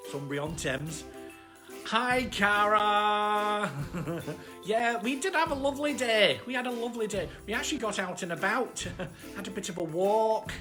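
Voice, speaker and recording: A woman's voice: medium pitch, expressive, moderate pace, over music, pitched above the performer's own voice, close to an everyday voice. A woman voicing a small, cheerful, chatty armadillo who hosts a children's bedtime story livestream. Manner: chatty, exclaiming greetings, giggly.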